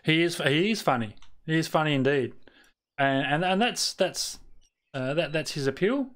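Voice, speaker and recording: A man's voice: on the high side, expressive, moderate pace, fairly bright tone. An Australian man chatting casually to his live-stream viewers while he plays, rambling with lots of fillers and restarts and muttering at the game.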